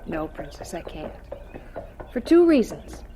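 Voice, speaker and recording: A woman playing a gentle, devout farm mother in a radio drama, speaking with tender, sincere dignity. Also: on the low side, sing-song, unhurried, slight background noise, low-quality recording. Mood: guarded, loyal.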